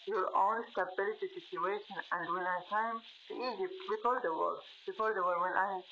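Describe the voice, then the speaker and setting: A young woman from Gaza with a Palestinian Arabic accent, a journalist answering interview questions, searching for words with frequent pauses and fillers. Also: mid-range voice, fairly even intonation, lo-fi sound, a crowd in the background. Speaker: journalist guest.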